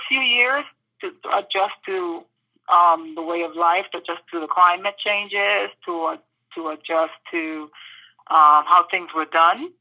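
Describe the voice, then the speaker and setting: A woman from the British Virgin Islands answering interview questions thoughtfully, pausing often with fillers. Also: on the low side, lo-fi sound. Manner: thoughtful, with many pauses and fillers.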